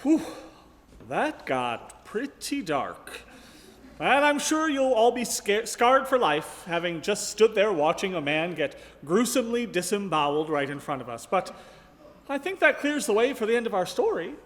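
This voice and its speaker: A man plays a wry, eager young-hearted radio comedy character. His voice is slightly high with animated swings in pitch, and it echoes through a big hall. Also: moderate pace. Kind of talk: live radio comedy acting. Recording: echoey hall, long reverb.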